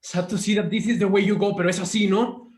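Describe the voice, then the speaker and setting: A man's voice: high-pitched, steady tone, quick pace, lightly acted. A man playing a menacing, gloating street thug in a screenplay table read, taunting and dropping into Spanish. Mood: gloating menace.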